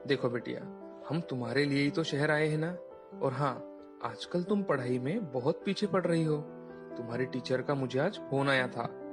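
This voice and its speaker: A man's voice: medium pitch, moderate pace, music in the background, lightly acted. A man playing an earnest, devoted working-class father in a dramatised children's story. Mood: concerned.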